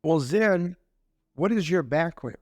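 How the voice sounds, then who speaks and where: A man's voice: a little high, animated, slow, dry recording. Easygoing man podcast co-host, dry sound. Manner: rambling, easygoing. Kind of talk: casual chat.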